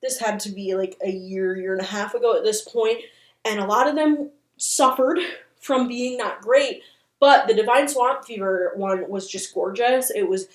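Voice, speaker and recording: A woman's voice: medium pitch, normal speed, echoey room. A woman hosting a casual video commentary, chatty, opinionated and playfully dramatic in manner. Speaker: host of a channel on internet horror.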